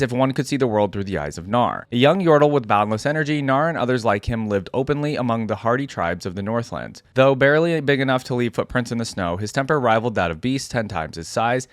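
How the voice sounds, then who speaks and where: A man with a medium-pitched voice hosts a casual reaction video. He moves between reading text aloud and informal, conversational asides. The sound is dry, with very little room in it. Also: no room sound. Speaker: YouTube host reacting to game lore.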